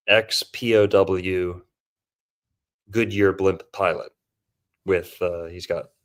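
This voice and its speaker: A man hosting a podcast, casually breaking down a movie in a loose, rambling way with lots of fillers. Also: on the low side. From Florida. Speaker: podcast host.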